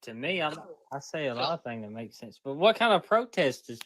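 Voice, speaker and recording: A man in his twenties with a Mississippi accent, a guest arguing politics in an earnest, halting way. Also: medium pitch, big pitch swings, a bit bright. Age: 20s. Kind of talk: casual debate.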